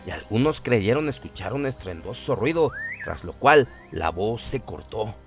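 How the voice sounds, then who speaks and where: Animated male horror storyteller over music. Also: on the low side, normal speed, creaky. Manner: solemn, suspenseful storytelling. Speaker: narrator of a horror radio story. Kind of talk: dramatic scripted horror narration. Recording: music bed behind the voice.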